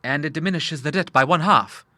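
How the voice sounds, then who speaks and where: A man's voice: a little high, fairly quick, pushed and bright, no room sound, pitched above the performer's own voice. A man voicing an earnest, honourable grown son in an audiobook, speaking formally and with emphasis. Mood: earnest, worried. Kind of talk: audiobook character voice.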